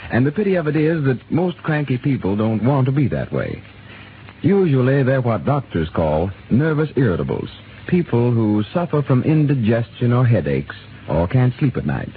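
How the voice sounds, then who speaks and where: Lively 1930s radio announcer man. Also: medium pitch, normal speed. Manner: smooth, expressive salesmanlike delivery. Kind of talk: scripted announcing and sponsor ad. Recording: tinny 1930s radio broadcast with hiss.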